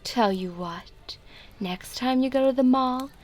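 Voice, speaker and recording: A woman voicing a haughty female vampire in an audio roleplay, mocking and sneering with drawn-out words. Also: sits high. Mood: mocking, threatening. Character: haughty, taunting female vampire gang member.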